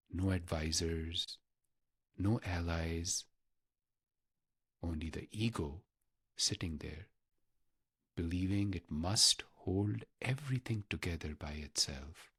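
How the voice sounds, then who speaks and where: A man guiding a meditation in a measured, reverent manner, pausing deliberately between short phrases. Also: low voice, a bit bright, husky, dry recording, studio quality. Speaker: meditation guide. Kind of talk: guided meditation narration.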